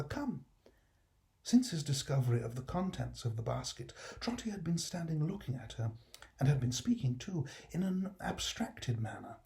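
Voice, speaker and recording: A man with a British accent gives an expressive, scripted storybook narration as an actor. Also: mid-range voice, creaky. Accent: British English.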